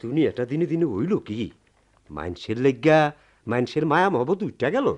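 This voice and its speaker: An actor playing a steady, protective village friend in a Bengali radio drama, speaking urgently and expressively in a rural Bangladeshi dialect. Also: male voice, medium pitch, a little fast. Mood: consoling.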